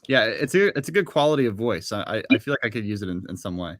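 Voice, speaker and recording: A young man chatting as a guest on a video call panel, quick and repeating words as he starts sentences. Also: fairly low pitch, sing-song, fairly bright tone, dry recording. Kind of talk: casual panel chat.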